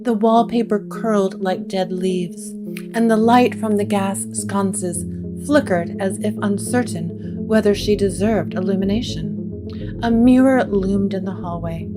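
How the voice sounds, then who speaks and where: A woman narrating a scripted gothic story with deliberate, dramatic pauses. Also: medium pitch. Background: plucked guitar music.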